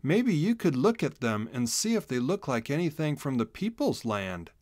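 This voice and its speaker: A man's voice: mid-range voice, sing-song, moderate pace, darkish tone, no room sound, studio quality, pitched above the performer's own voice, close to an everyday voice. A man voicing a curious young hedgehog boy in a children's storybook, speaking in an earnest, emphatic way. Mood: thoughtful. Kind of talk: children's storybook read-aloud.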